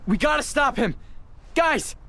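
A man's voice: extremely high pitch, animated, unhurried, creaky, pushed and bright, exaggerated voice. A man playing an earnest, brainy teenage boy hero in a video game, with an emotional manner. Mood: alarmed, urgent.

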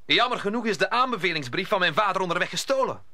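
Radio play: proud young duelist, high, piercingly bright, impulsive. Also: male voice, expressive, fast, no echo, exaggerated voice. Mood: earnest. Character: hot-headed, proud young male swordsman newly arrived in Paris. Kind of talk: audio drama acting.

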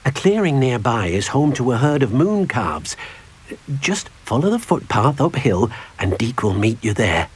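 An actor playing a gentle, earnest, small elderly house-elf servant in a video game, who speaks of himself in the third person. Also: male voice, mid-range voice, sing-song, a little slow, breathy edge, exaggerated voice. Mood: helpful, polite. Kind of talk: scripted video game character acting.

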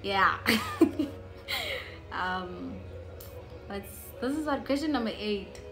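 Slow-talking young South African woman. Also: mid-range voice, expressive, over music. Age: 20s. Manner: chatty, easily distracted, joking asides. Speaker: YouTuber. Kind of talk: casual chat to camera. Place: living room at home, indoors.

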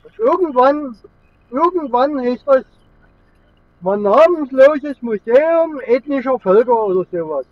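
A man's voice: very high voice, expressive, unhurried. A middle-aged man from Germany, chatting casually and rambling along as he vlogs from the driver's seat. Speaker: vlogger. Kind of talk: casual vlog chat while driving. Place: inside a moving car with air conditioning running. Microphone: camera mounted in the car. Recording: thin phone-like sound, road noise.

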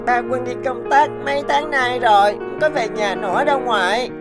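A woman's voice: on the high side, normal speed, loud music behind the voice, lightly acted. A woman voicing an earnest little boy devoted to his mother in a cartoon drama. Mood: innocent.

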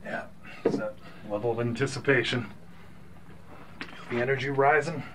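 A man's voice: mid-range voice, fairly even intonation, normal speed, background noise. A man chatting casually in a radio studio. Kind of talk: casual backstage chat.

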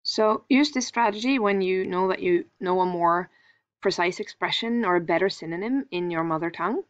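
A Norwegian woman teaching a screen-recorded lesson, explaining calmly and thinking aloud with small fillers. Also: lowish voice, normal speed, lo-fi sound.

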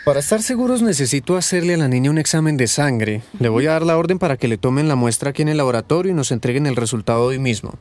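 An actor with a Colombian accent playing a measured, serious male doctor in a radio soap opera. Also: medium pitch, lively intonation, darkish tone, no echo, natural voice. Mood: calm, professional. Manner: measured, explanatory. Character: calm, serious male gynaecologist.

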